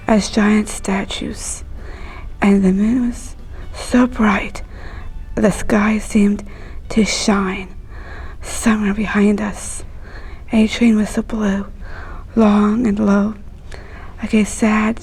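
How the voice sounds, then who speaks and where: Breathy, husky woman reading a story slowly. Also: mid-range voice, little pitch movement, darkish tone, faint music behind, rough recording. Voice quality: breathy, whispery. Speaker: storyteller reading a picture book aloud. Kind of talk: slow, hushed read-aloud storytelling.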